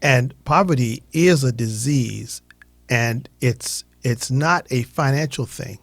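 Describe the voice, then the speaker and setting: A man's voice: mid-range voice, takes it slowly, dry recording. A man hosting a radio show, chatting in a casual, affirming interview style.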